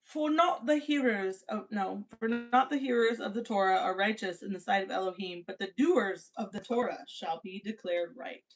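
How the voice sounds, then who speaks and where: Woman, playful and chatty co-host, reads scripture in an even, steady voice. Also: medium pitch, normal speed, hardly any pauses, soft background music, low-quality recording. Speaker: livestream co-host. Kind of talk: casual chat and Bible reading aloud.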